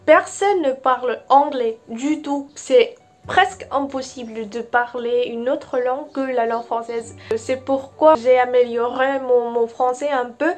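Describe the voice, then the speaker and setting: A young woman with a clearly high voice and a Vietnamese accent talks at a slow, deliberate pace. She stops and restarts often while she searches for words. Soft music plays behind her. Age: young adult. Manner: slow, careful, with frequent hesitations. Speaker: vlogger. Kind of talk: casual talk to camera.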